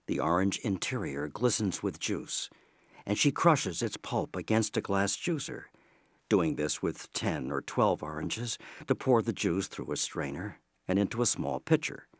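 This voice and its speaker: Male narrator, lowish voice, expressive intonation, measured storytelling, scripted audio drama, dry sound. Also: dry recording.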